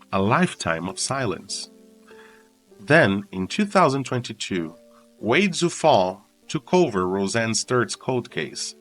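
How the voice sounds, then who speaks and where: A man reading scripted true crime documentary narration in a solemn, suspenseful manner with deliberate dramatic pauses. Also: mid-range voice. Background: background music.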